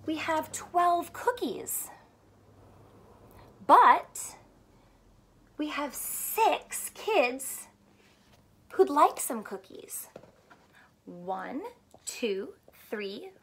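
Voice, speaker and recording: A young woman teacher doing a read-aloud math lesson for children, animated and full of questions, speaking clearly for kids. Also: sits high, extremely slow, long pauses. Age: young adult. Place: small furnished room at home, indoors.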